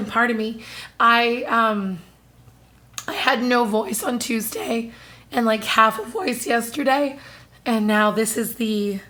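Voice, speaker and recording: A woman in her thirties with a hoarse, husky voice, still recovering from losing it, chatting casually to camera with lots of fillers. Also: on the high side, steady tone, slow pace, pushed and bright. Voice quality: husky, strained. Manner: chatty, apologetic, many fillers. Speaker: vlogger. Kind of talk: casual monthly haul-style chat to camera.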